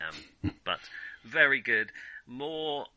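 A man co-hosting a film podcast in casual discussion, giving emphatic opinions and halting as he thinks aloud. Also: medium pitch, big pitch swings, bright, forceful tone, no room sound, poor audio.

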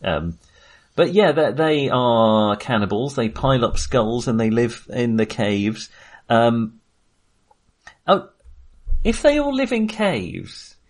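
A man's voice: mid-range voice, sing-song, slow, pauses often. A man hosting a casual film discussion, wry and amused, rambling and hesitant as he trails off mid-sentence. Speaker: film podcast host.

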